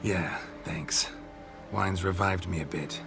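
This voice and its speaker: An actor playing a gruff, stoic monster-hunting mercenary in a video game, terse and dry, with a gravelly, breathy rasp. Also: male voice, deep voice, slow, music in the background, exaggerated voice. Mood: dry, steady. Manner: terse, clipped, understated. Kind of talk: dramatic video game acting.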